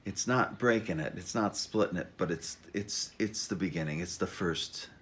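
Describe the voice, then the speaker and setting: A man introducing his own memoir as an author, talking informally and reading dramatically, with halting self-interruptions, wry asides and theatrical emphasis. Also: sits low, a bit bright.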